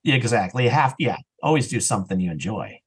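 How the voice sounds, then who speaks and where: A man's voice: on the low side, very animated, quick pace, breathy edge. A man speaking as an interview guest on a podcast in a casual, opinionated, emphatic conversational way. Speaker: interview guest, audio drama writer and actor.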